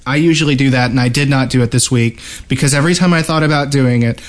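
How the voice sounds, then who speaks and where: A man's voice: mid-range voice, quick pace. A man hosting a podcast in an informal, conversational way, chatting with co-hosts and reading out sign-offs. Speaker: podcast host. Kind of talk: casual podcast banter and sign-off.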